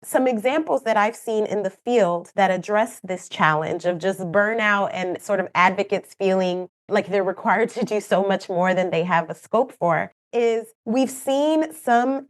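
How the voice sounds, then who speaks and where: A woman with a Kenyan accent speaks in an earnest, explanatory way, answering as a guest on a podcast. English is not her first language. Her voice sits at an ordinary middle pitch. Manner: earnest, explanatory, with light laughs and asides. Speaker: program manager, guest expert.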